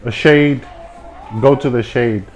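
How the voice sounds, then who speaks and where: A man from Tonga guesses at word meanings, speaking very slowly and hesitating, with frequent "um"s. He has a mid-pitched voice, and faint background noise sits behind him. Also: some chatter behind. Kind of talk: casual family game.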